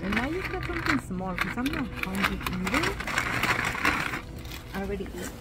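A young woman chatting casually and excitedly to her phone camera while shopping and then eating out. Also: mid-range voice, normal speed, loud music behind the voice. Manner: chatty, excited, exclaims over cute things. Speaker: vlogger. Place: busy store and fast-food restaurant. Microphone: handheld phone camera. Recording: noisy public place ambience.

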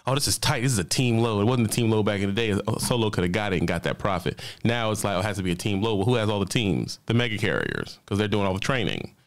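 A fairly low-voiced man talks over a video on his home stream, blunt and full of slang. He speaks close to a studio microphone, and his asides come quick and emphatic. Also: animated. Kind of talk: casual reaction commentary. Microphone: studio mic on boom, headphones.

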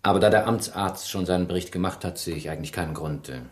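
An actor playing a polite but persistent police inspector in a German radio crime drama, speaking formally and with measured care. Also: male voice, deep voice, breathy edge. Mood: polite, probing. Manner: formal, measured, hesitant fillers.

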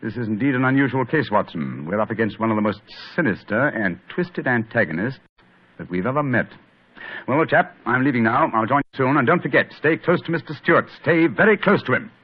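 An actor playing a brisk, commanding male detective, in a 1940s radio drama. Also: mid-range voice, lively intonation. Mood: urgent. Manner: crisp, clipped and authoritative. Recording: muffled old radio broadcast with faint hiss.

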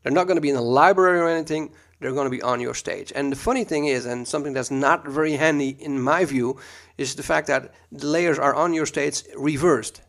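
A Dutch man with a Dutch accent, teaching a screen-recorded software tutorial in a relaxed, chatty way full of ums and uhs, recorded at a computer mic. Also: fairly high pitch, brisk.

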